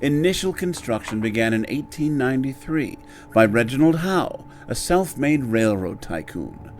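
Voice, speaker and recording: An actor playing the courtly, ominous master of a haunted mansion inn, speaking formally and with measure in a horror audio drama. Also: male voice, medium pitch, big pitch swings, normal speed, a little hiss or hum, natural voice. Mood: grave storytelling.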